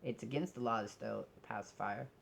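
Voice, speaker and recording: A woman talking casually to viewers in a personal vlog, blunt and self-deprecating, halting as she thinks aloud. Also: extremely low pitch, gravelly. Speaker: vlogger.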